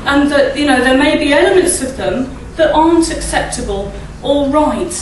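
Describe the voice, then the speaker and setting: A middle-aged woman with a croaky voice speaks at a church service from a lectern mic, chatty and often asking the audience tag questions. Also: sits high, expressive, normal speed, slight background noise. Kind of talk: informal talk at a church service. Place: church hall, indoors. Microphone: standing mic at a lectern, filmed by a far camera. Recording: echoey hall, recorded from a distance.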